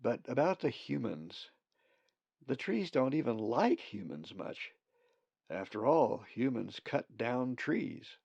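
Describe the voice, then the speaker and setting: A man voicing a wise female great raven who leads the flock, calm and reassuring. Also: medium pitch, slow pace, vocal fry, breathy edge, no echo, highs cut off, heavily acted. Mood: kindly.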